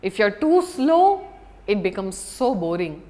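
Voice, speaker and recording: A woman giving a public speaking lesson as an instructor, speaking deliberately and emphatically with dramatic pauses. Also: medium pitch, big pitch swings, dark tone. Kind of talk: scripted lesson to an audience on video.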